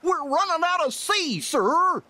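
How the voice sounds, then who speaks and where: Cartoon game role: good-natured dog sidekick, slow, very swoopy delivery, flustered and earnest. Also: male voice, very high voice, sing-song, sharp tone, heavily acted. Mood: alarmed. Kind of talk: cartoon game cutscene acting.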